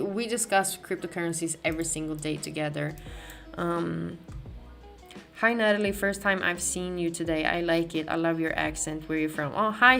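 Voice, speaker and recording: A woman's voice: fairly low pitch, fairly bright tone. A woman from Sweden with a Swedish accent, hosting a live crypto market stream, talking casually with many restarts and fillers as she reads the chat. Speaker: crypto livestream host.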